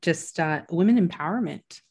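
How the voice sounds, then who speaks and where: Woman host, soft-spoken, lower pitch; casual interview. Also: lively intonation, normal speed, slightly rough.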